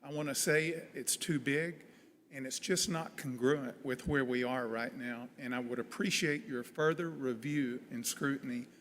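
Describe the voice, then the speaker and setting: A man's voice: medium pitch, normal speed, fairly bright tone. A man giving public comment at a hearing as a local business owner. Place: large meeting room. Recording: echoey hall, some background noise.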